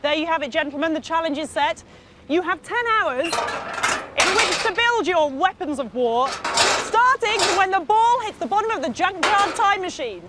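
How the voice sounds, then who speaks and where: A woman co-hosting a competition show, announcing the challenge in a crisp, emphatic way. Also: very high voice, animated, normal speed, heavy background noise. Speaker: co-host.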